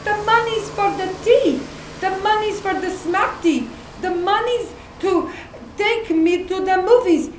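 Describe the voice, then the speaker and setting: Woman acting a very high-voiced, stilted, vengeful character. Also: measured pace. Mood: anxious. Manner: stilted, literal, uncontracted phrasing. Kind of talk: acted dramatic sketch. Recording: echoey room with faint background noise.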